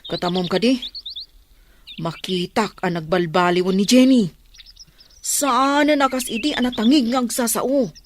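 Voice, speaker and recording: A woman's voice: on the high side, normal speed, long pauses, natural voice. A woman playing a concerned, talkative village woman who gives frank advice in an Ilocano radio drama. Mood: earnest, frank. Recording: noisy track with birdsong and clicks.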